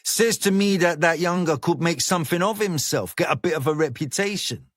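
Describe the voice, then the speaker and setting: A man voicing a cocky, flashy male gang leader who talks in slang, smooth and menacing. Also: a little high, brisk, no room sound, clean studio sound, pitched above the performer's own voice, close to an everyday voice. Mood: coaxing. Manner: coaxing street slang, persuasive.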